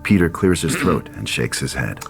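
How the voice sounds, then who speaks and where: A man reading scripted dramatic stage directions as a narrator, in a deliberate storytelling manner. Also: extremely low pitch, expressive, faint music behind.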